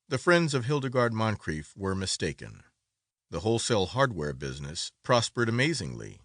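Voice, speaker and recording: A man's voice: on the low side, fairly bright tone, dry recording, studio quality. A man narrating a classic literary story as a dramatic, scripted audiobook reading.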